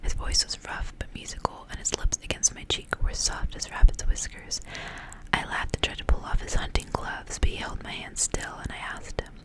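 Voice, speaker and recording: ASMR reader: woman, whispered throughout, narrating a fairy tale in a hushed voice. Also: moderate pace, poor audio. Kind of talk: whispered ASMR storybook reading.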